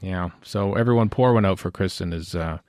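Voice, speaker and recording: A man from Western Canada with a Canadian accent, hosting a podcast in a rambling, halting, jokey style of casual chat. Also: low-pitched, darkish tone. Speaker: podcast host.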